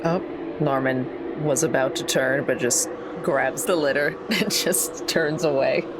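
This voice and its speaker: A woman's voice: a bass voice, unhurried, background noise. A woman chatting casually as a player in a tabletop role-playing game.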